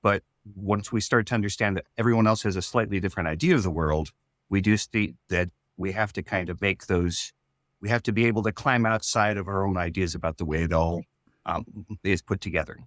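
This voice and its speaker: A man speaking as a writer in a casual interview, explaining his ideas in a thoughtful, halting way. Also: low-pitched, fairly bright tone, dry recording, studio quality. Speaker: writer and storyteller.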